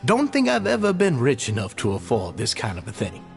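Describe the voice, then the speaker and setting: A man's voice: mid-range voice, wildly swooping pitch, a little fast, vocal fry, soft background music. An actor playing a polite, deferential male society leader in a video game. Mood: courteous.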